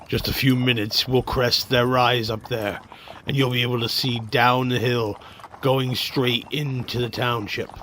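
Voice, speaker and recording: A man's voice: mid-range voice, little pitch movement, unhurried, fairly bright tone, a little hiss or hum, lightly acted. A man playing an experienced cart driver in a fantasy radio drama, instructing a younger companion in folksy, old-time phrasing. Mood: explaining.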